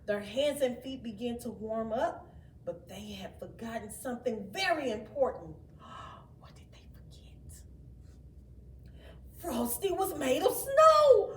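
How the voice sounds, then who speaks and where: A woman reading a children's story aloud in an expressive, dramatic way, with asides to her young listeners. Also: a little high, slow pace. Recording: roomy, distant mic, faint background noise.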